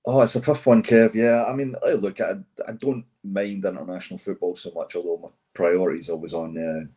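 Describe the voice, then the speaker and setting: Scottish male podcast co-host, 40s, low husky voice, hoarse from a cold, quick chatty rambling delivery. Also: fairly even intonation, dark tone. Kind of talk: casual chat.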